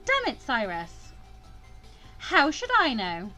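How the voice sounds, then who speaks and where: A woman voicing an indignant, sharp-tongued fourteen-year-old student girl in a visual novel, sarcastic and exclamatory. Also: fairly high pitch, huge pitch swings, slow, faint music behind, heavily acted. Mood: exasperated.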